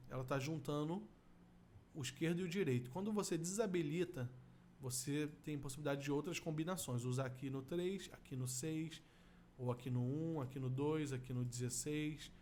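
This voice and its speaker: A middle-aged man with a Brazilian accent giving an informal software tutorial as an audio effects instructor, explaining step by step. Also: a little high. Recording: steady room noise.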